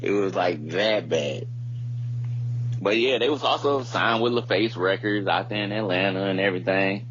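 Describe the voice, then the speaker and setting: Low-voiced man chatting, muffled hum. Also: moderate pace, a bit bright. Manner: informal, slangy, rambling delivery. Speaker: podcast co-host. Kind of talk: casual chat. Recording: muffled lo-fi audio with background hum.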